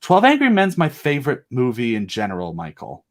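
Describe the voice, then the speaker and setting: A man's voice: mid-range voice, wildly swooping pitch, fairly quick. A man being interviewed as a guest, chatting casually and enthusiastically about his creative work, rambling and jokey with dramatic emphasis. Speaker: guest, creator of a giant monster audio drama.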